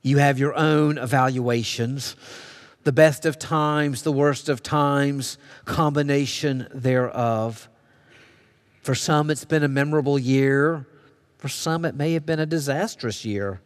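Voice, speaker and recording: A man's voice: mid-range voice, measured pace. An American man preaching a sermon as a pastor, telling stories conversationally and acting out bits of dialogue. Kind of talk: sermon with storytelling. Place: church sanctuary. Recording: long reverberation of a large hall.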